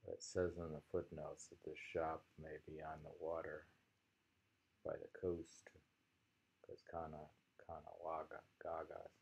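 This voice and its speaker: A man in his sixties with a low, husky voice reads poetry aloud at home. He muses over the lines informally, leaving long pauses and moving at a very slow pace. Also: completely flat delivery, darkish tone. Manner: unhurried, musing, with pauses. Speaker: poetry reader. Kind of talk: reading haiku aloud with casual informal commentary. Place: small room indoors at home.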